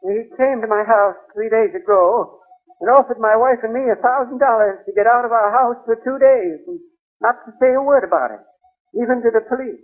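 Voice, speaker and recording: High-pitched old radio witness. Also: male voice, fairly quick. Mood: earnest. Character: plain-spoken old man, police witness. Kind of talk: 1940s radio drama acting. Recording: thin, phone-like 1940s radio recording.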